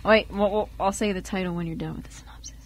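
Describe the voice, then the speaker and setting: A woman's voice: medium pitch, steady tone, quick pace, slight background noise. A woman chatting casually with friends, playful and full of exclamations. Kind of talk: casual group chat.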